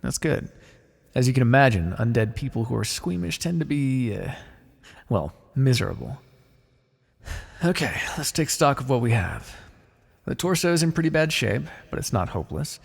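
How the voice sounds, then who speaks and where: A man playing a blunt, callous, wryly businesslike necromancer in an ASMR fantasy roleplay, muttering in a deadpan, gravelly voice. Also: medium pitch, moderate pace, heavily acted. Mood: wry, matter-of-fact. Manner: deadpan, muttering to himself in thought. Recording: long reverberant hall echo.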